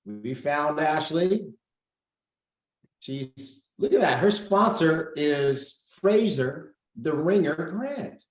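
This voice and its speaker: A man's voice: a little high, expressive, slow pace, echoey room, poor audio. A man hosting a casual group coaching call over video, upbeat, thinking aloud and reading out figures. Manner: upbeat, reads out numbers, thinks aloud while clicking.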